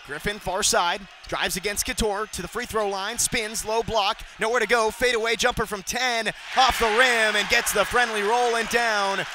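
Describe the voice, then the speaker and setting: A young man calling radio basketball play-by-play, rattling off plays and shouting on big moments. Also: high voice, background noise. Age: young adult. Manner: rapid excited calls, shouting on big plays. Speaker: play-by-play announcer.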